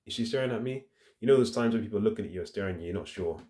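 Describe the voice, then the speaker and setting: Male fitness vlogger, deep and very mellow, casual halting delivery, unscripted phone-camera talk. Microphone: handheld phone.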